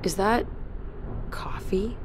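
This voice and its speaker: A woman's voice: takes it slowly, noisy background, close to an everyday voice. A woman playing a tired, guarded ship engineer who gives short, dry replies. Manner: dry, low-key, curt replies.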